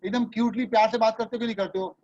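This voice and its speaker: A man's voice: sits high, steady tone, rapid-fire, crowd noise. A man teaching a voice class over a video call, talking casually and reassuringly, mixing Hindi and English. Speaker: voice and dubbing trainer. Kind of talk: online class coaching. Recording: dull, lo-fi video call audio.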